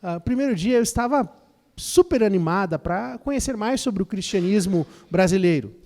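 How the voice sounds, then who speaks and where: A man's voice: high-pitched, expressive, moderate pace, a little mellow. A man interpreting a lecture phrase by phrase, often starting with fillers and repeated words. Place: lecture hall. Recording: long hall reverb.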